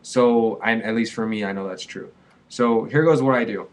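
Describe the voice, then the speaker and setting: A man's voice: on the low side, normal speed. A man in his twenties, a small business owner, talking casually and earnestly to camera with a rambling, repetitive flow. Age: 20s. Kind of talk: casual vlog advice to camera.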